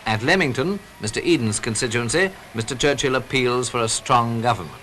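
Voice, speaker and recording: A man's voice: mid-range voice, lively intonation, a little fast, pushed and bright, a little hiss or hum. A British man reading scripted newsreel commentary in the style of the 1940s, as a narrator. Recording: vintage 1940s newsreel soundtrack with music. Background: music.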